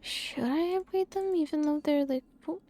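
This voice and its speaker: A woman's voice: high voice, moderate pace, mellow, soft background music. A woman giving casual live game commentary, playfully muttering to herself with little sound-word fillers. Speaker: streamer.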